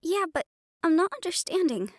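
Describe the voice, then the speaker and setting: A woman's voice: extremely high pitch, very animated, moderate pace, no room sound, clean studio sound. A woman playing an anxious, earnest young student medium in an audio drama, speaking in a hesitant, questioning way. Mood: confused, worried. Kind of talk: audio roleplay acting.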